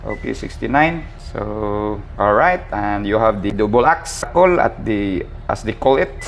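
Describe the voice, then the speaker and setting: A man with a Filipino accent giving an informal hands-on equipment tutorial, speaking haltingly and repeating himself as he addresses viewers casually. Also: fairly low pitch, expressive. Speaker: seaman presenting a tutorial. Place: ship's bridge. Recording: clear ambient background noise.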